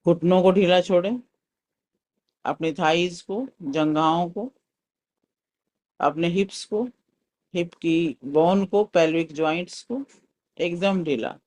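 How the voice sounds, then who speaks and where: A man guides a meditation in a fairly high voice. He speaks very slowly and calmly, giving soothing instructions like a teacher leading a session. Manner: calm, soothing, unhurried instruction. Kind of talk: guided meditation with breath counting and mantra chanting.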